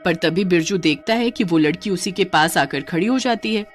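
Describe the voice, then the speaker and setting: A woman's voice: fairly low pitch, normal speed, soft background music. A woman narrating a scripted story as a storyteller.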